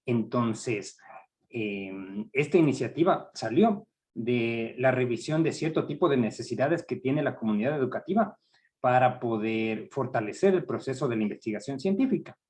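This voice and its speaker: Middle-aged Ecuadorian man, university workshop leader; measured, halting delivery, mid-pitched. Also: animated, a little mellow. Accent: Ecuadorian Spanish. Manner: measured, hesitant with frequent fillers. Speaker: university research director giving a workshop. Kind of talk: academic training seminar.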